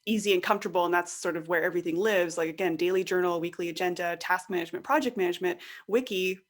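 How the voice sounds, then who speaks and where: A woman chatting casually as an expert podcast guest, animated and given to voicing imagined quotes. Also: fairly low pitch, quick pace. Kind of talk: casual interview chat.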